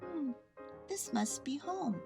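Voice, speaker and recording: A woman voicing a small, innocent male toy bear for a children's storybook, wistful and full of gentle wonder. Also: sits high, animated, takes it slowly, faint music behind, pitched above the performer's own voice. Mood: contented wonder. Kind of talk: children's storybook read-aloud.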